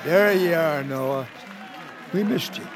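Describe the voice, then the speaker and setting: A man's voice: fairly high pitch, takes it slowly, a loud crowd, very noisy. An actor playing a plainspoken, fatherly older townsman in a family audio drama. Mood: fond.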